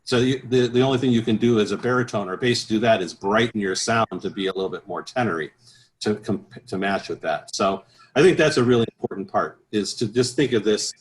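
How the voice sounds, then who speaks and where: A man speaking as an interview guest, explaining things conversationally as a choral professional, with halting, repeated phrases. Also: lowish voice. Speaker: interview guest, professional bass singer and choir director.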